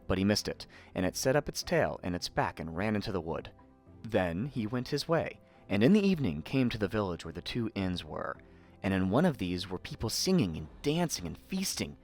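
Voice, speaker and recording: A man with a fairly low voice narrates a fairy tale as a theatrical storyteller. His intonation is very animated and expressive, and faint music plays underneath. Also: normal speed.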